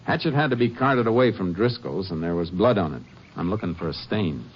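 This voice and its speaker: An actor in a 1950s radio drama playing a steady Texas Ranger, calm, firm and clipped. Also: male voice, sits low, fairly quick. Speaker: lead and narrator. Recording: lo-fi old radio recording with hiss.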